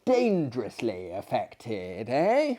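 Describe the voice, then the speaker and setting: A man voicing a polite, nervously curious gentleman visitor in a storybook tale, halting and tentative in his speech. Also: mid-range voice, huge pitch swings, background noise, pitched below the performer's own voice. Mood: apologetic, nervous.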